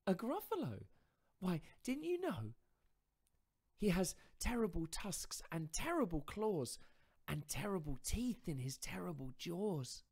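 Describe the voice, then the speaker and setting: A man's voice: high-pitched, huge pitch swings, unhurried, a little mellow, breathy edge, voice pushed up, exaggerated voice. A man voicing a small, clever, boastful storybook mouse in a chirpy, sing-song manner.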